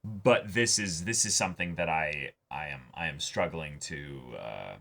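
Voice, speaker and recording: A man hosting a reaction show, giving casual, opinionated commentary in a halting way, repeating words and slipping into quoted asides. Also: a bass voice, bright, forceful tone, a little hiss or hum. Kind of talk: casual opinionated discussion of an anime episode.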